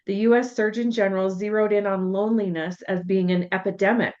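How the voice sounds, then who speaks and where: A woman co-hosting a podcast, setting up topics in a measured, affirming way during a reflective conversation. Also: mid-range voice, steady tone, a little mellow. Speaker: podcast co-host. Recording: slightly dull lo-fi sound.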